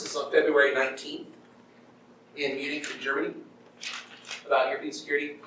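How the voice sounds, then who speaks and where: A man's voice: on the high side, a bit bright. A middle-aged man giving an informal university lecture in a conversational way, acting out paraphrased quotes and checking in with his listeners. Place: lecture room at a university, in front of an audience. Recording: very echoey room, distant mic, background noise.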